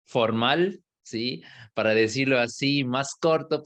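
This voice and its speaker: A man, an imam, with a foreign accent partly shaped by Argentine Spanish, speaking in a measured, thoughtful way with frequent hesitation sounds and restarts as he recounts a personal experience. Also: mid-range voice, fairly bright tone. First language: not Spanish.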